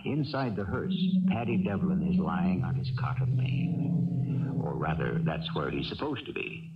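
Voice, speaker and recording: An American man hosting and narrating a radio drama in a deliberate, theatrical scripted style. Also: low-pitched, sing-song, a crowd in the background. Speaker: narrator and host. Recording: dull, distant vintage radio recording. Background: music.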